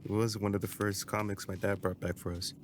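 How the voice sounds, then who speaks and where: A man's voice: fairly low pitch, level tone, a little fast, slight background noise, lightly acted. A man playing a conflicted, guarded young male agent in an audio drama, earnest and defensive in his delivery. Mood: subdued, careful.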